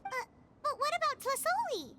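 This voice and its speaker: Tiny squeaky game fairy, extremely high, bright, swooping, eager and anxious. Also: female voice, sing-song, moderate pace, slight background noise, full character voice. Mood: anxious, helpful. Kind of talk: animated video game voice acting.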